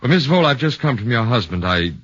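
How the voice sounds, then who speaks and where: A man voicing a shrewd middle-aged defense lawyer who questions in a probing, measured way, in a 1940s radio drama on a muffled old broadcast. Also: mid-range voice, big pitch swings, a bit bright.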